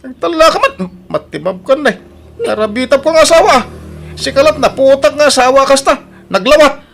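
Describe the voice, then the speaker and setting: A man's voice: extremely high pitch, lively intonation, fairly quick, gravelly, fairly bright tone, slight background noise, full character voice. A man playing a defensive, quarrelsome husband in an audio drama, whining and indignant, with an Ilocano accent. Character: defensive, quarrelsome husband bickering with his wife.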